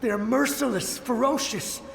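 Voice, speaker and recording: Casting: fervent, desperate samurai spirit for a game, high voice, heavy reverb. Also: male voice, moderate pace, vocal fry, slight background noise. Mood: grim warning. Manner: pleading, urgent, fervent. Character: anguished ghostly memory of a desperate samurai soldier. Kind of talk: dramatic video game voice acting. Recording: echoey long reverb, music behind. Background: game music.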